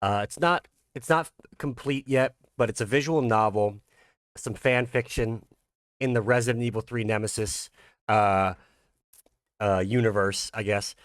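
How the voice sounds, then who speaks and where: A man's voice: on the low side, unhurried, no echo, studio quality. A man who talks casually about his own visual novel and reads its narration aloud. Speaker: creator reading own fan-made visual novel. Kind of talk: casual intro, then dramatic read-aloud narration.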